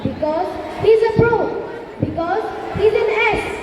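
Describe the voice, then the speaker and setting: A woman's voice: very high-pitched, slow pace, a little mellow, highs cut off, some chatter behind. A woman acting in an amateur stage play as a flustered, indignant, exclamatory school principal. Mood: agitated. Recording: echoey hall, far mic, noisy audience.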